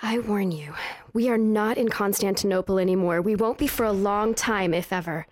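A woman's voice: mid-range voice, moderate pace, close to an everyday voice. An actress playing a frank, wry young noblewoman and tender wife in an audio drama, with a direct way of talking. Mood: teasing warning.

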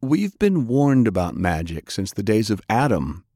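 A righteous old-time preacher, acted by a male audiobook reader, low in pitch and full of alarm. He delivers stern oratory in a dry recording. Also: big pitch swings, measured pace, warm tone, dry recording. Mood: fervent warning.

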